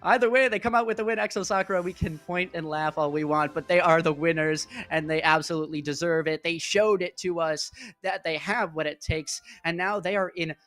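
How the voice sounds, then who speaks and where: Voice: mid-range voice, steady tone, brisk, a bit bright. An esports play-by-play caster giving live match commentary with chatty, casual asides. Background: music.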